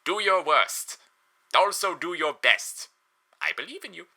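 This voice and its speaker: A high, pompous skeleton from a storybook. He proclaims everything grandly, boasting about himself while eagerly courting friendship. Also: animated, measured pace, sharp tone, heavily acted. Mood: pompous, encouraging.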